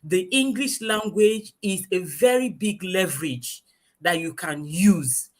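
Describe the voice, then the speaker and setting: A woman's voice: fairly low pitch, lively intonation, takes it slowly. A woman, a guest English teacher lecturing earnestly in a webinar over a video call, repeating her points for emphasis.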